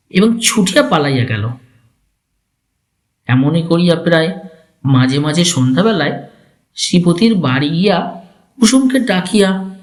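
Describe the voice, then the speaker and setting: A man acts out an elderly village uncle from a dramatized classic story. He talks very slowly and formally, laying out his schemes with cool calculation and deliberate phrasing. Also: a little high. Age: older. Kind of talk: dramatized literary story reading.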